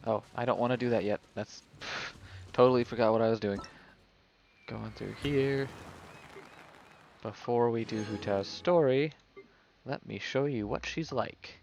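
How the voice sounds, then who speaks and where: A man's voice: medium pitch, a little slow, gravelly, a little mellow, a little hiss or hum. A man streaming a video game and giving casual live commentary as he plays.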